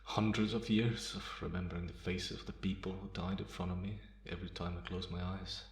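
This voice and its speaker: A man playing a bitter, guarded, centuries-old vampire in a slow ASMR roleplay monologue with measured pauses. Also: sits low, flat delivery. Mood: haunted, bitter. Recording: roomy, echoing sound.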